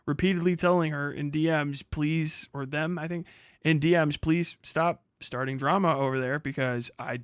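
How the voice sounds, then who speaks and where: Guy livestreaming a reaction, kinda high voice, dry close sound, sweary and sarcastic. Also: dry recording. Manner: exasperated, sarcastic, animated. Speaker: livestream host reacting to a recorded conversation. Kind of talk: casual reaction commentary, heavy swearing.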